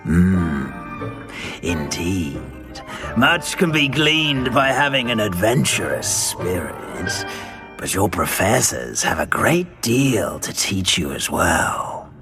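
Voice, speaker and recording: A man voicing an ancient sentient hat in a video game, musing slowly with pondering hums. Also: low voice, sing-song, pushed and bright, soft background music, full character voice.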